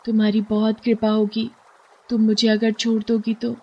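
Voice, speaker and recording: A woman's voice: on the high side, monotone, moderate pace, husky, highs cut off, voice pushed up. A woman voicing an earnest, sweet mother fish with magical powers in a children's moral story. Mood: pleading. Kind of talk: moral storybook cartoon voice acting.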